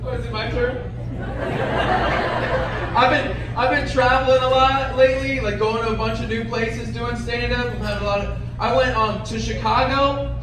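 A man's voice: very high voice, steady tone, normal speed, noisy background. A man in his twenties doing a stand-up set in a comedy club, teasing the audience and making fun of himself. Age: 20s. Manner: self-deprecating, teasing the crowd. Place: comedy club stage before a large audience. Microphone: handheld mic, heard from far in the room. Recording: echoey club room from far off, loud crowd laughter.

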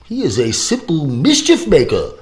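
A man's voice: a little high, wildly swooping pitch, normal speed, voice pushed up. A man voicing a grumpy, domineering retired professor in an audio story, speaking in a pompous, formal way. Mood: indignant. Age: older. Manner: pompous, formal, clenched indignation.

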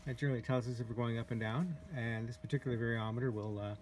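A man giving a casual, halting walkthrough as a glider pilot, pausing often as he explains, recorded outdoors on a handheld camera. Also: medium pitch, fairly even intonation. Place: outdoors in an open glider cockpit in a driveway. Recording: handheld camera recording with clear background noise.

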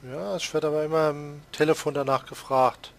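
A man's voice: a little high, little pitch movement, fairly quick, vocal fry. A man with a Palatinate accent chatting casually while playing a farming game, laughing often and teasing himself. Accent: Palatinate German. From Palatinate, Germany. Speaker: let's play host. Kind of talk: casual gaming chat.

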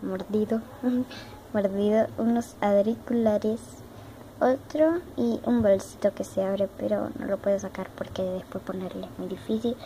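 A woman's voice: mid-range voice, steady tone, a little slow, warm tone, slight background noise. A young girl from Argentina with an Argentine Spanish accent, chatting casually and repeating herself as she shows off her dollhouse, recorded on a handheld phone indoors. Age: child. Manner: chatty, repeats words, childlike diminutives. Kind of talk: casual show-and-tell narration. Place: home room with wooden floor, indoors. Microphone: phone camera held in hand.

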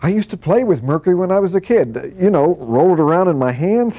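A man as a curious American newcomer, lively pitch. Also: a little fast. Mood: curious, impressed. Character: naive, curious, eager male refinery newcomer. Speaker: trainee. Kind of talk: scripted dialogue in a training film. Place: classroom. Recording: slightly dull, muffled audio.

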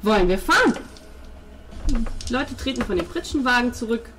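A woman's voice: on the high side, expressive, normal speed. A woman improvising narration as game master of a tabletop role-playing game.

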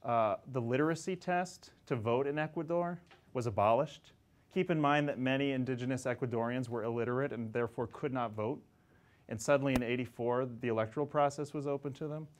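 A man in his forties lectures a class from the front of the room, animated and chatty, with quick asides to his listeners. His voice sits at an ordinary middle pitch and comes through clean on a clip-on mic. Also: a little hiss or hum. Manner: animated, gesturing, conversational with asides. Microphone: lapel mic.

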